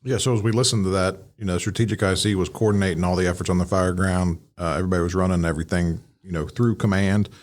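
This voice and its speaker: A man's voice: low-pitched, fairly even intonation, fast, hardly any pauses. An American man from the Midwest giving casual analytical commentary, explaining step by step with plenty of "you know". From Midwestern United States. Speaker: podcast host and fire command instructor.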